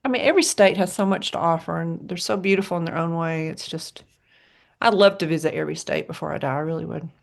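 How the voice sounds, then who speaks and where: Woman host, lowish gravelly voice, chatty and quick to laugh. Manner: chatty, laughs often, trails off. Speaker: podcast host. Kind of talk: casual chat.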